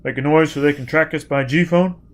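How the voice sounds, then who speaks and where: A rough, gruff fugitive man, acted out by a male narrator in an adventure audiobook. He is driven and urgent, pushing out short, forceful phrases at a middling pitch. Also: moderate pace, natural voice. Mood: weary disgust. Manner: clipped, forceful, terse.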